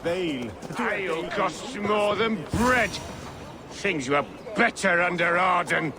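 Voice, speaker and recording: A man's voice: sits high, expressive, slow pace, a loud crowd. A man playing a belligerent, threatening alehouse brawler in a video game. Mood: grumbling. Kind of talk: video game dramatic acting.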